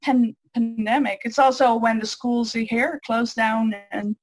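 A woman's voice: a little high, fairly even intonation, a little slow, no room sound, low-quality recording. A woman, answering interview questions casually with frequent ums and restarts. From Netherlands. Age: 20s. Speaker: interview guest. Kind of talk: casual interview over a call.

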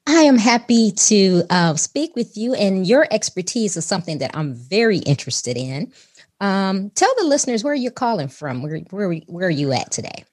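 A woman's voice: mid-range voice, sing-song, moderate pace, darkish tone, dry recording, clean studio sound. A woman from Chicago, hosting a podcast, reading an upbeat intro and then chatting casually with her guest. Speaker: podcast host.

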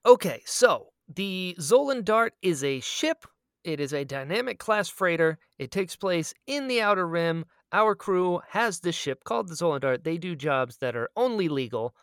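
A man's voice: high voice, lively intonation, normal speed, fairly bright tone, smooth, no room sound, studio quality. A man co-hosting a casual podcast chat, talking in a self-deprecating, rambling way. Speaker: podcast co-host and audio drama creator.

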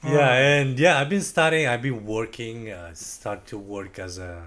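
A man with a Russian accent, a guest in a casual chat, speaking in halting English and searching for words. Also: mid-range voice, fairly even intonation, fairly bright tone.

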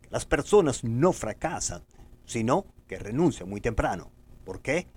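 A man's voice: fairly high pitch, a little mellow, breathy edge. A middle-aged man with a Venezuelan Spanish accent delivers a scripted motivational podcast monologue in an earnest, formal, exhortative manner.

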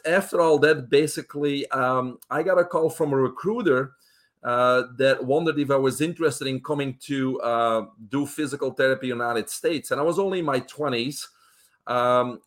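Belgian-accented man telling his story. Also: medium pitch. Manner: relaxed, many uh fillers. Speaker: guest. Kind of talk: interview storytelling.

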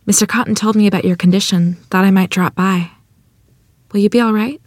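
A woman's voice: medium pitch, fairly even intonation, fairly quick, a little mellow, dry recording, studio quality, natural voice. A woman playing a modest, polite rural wife and mother in a period table read, speaking with hesitant self-doubt. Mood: tender, concerned.

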